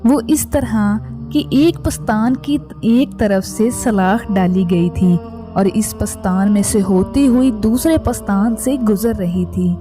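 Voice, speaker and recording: A woman reads a scripted religious narration as a storyteller, in a measured, solemn manner. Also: medium pitch. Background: music.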